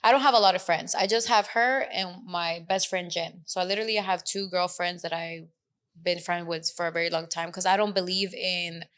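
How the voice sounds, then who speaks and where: A woman's voice: fairly low pitch, fairly even intonation, brisk, fairly bright tone. Woman in her twenties, Mexican American accent, casual podcast co-host, earnest, slips into Spanish. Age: 20s. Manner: earnest, switches into Spanish mid-sentence. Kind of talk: casual chat. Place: Dallas.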